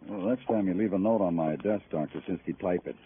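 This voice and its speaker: An actor playing a weary, sardonic American police detective in a 1950s radio crime drama, brusque and wry. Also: male voice, low-pitched, brisk, close to an everyday voice. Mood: irritated. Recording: tinny, hissy old radio recording.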